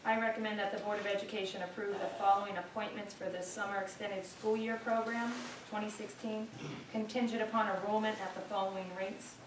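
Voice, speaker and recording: A woman's voice: mid-range voice, level tone, brisk. A woman who is a board member reads formal motions and lists aloud at a meeting, away from the microphone. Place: meeting room. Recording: echoey noisy room, distant microphone.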